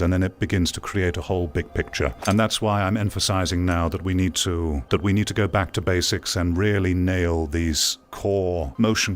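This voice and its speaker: A man hosting a VR gaming stream, talking to his viewers in an earnest, emphatic way and repeating phrases for stress. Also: sits low. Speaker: VR games streamer and video host. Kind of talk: live game commentary talking to viewers.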